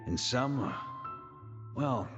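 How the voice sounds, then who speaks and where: An actor playing a seasoned, calm knight commander in a video game, speaking in a measured, fatherly way. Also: mid-range voice. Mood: solemn, measured. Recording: long reverb over game music.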